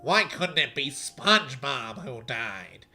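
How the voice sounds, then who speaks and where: A man voicing a bitter, spiteful male cartoon octopus neighbour, loud and scornful. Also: mid-range voice, very animated, takes it slowly, faint music behind, heavily acted.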